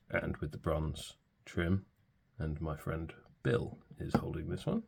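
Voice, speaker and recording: Male pen reviewer in his forties, very low and gravelly, congested from a cold, unhurried and full of ums. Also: slight background noise. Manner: relaxed, hesitant, many fillers. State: stuffy from a cold. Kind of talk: casual product review.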